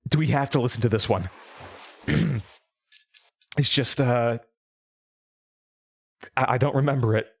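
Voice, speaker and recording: An actor playing a troubled, reluctant man in an audio drama, speaking haltingly and naturally. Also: medium pitch, vocal fry. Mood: reluctant, pleading. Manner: hesitant, halting. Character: reluctant, troubled man dreading his own lost memory.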